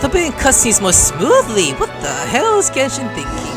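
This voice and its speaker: A woman streaming a game, giving casual, excitable, sweary commentary. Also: on the high side, moderate pace. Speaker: gaming streamer. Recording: game music playing behind the voice.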